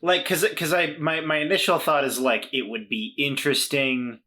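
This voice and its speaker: A man's voice: fairly high pitch, fairly even intonation, normal speed, sharp tone. A man on a podcast casually discussing his tabletop game character, hesitant and repeating words as he searches for phrasing. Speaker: podcast player in a role-playing game. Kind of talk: casual unscripted tabletop role-play discussion.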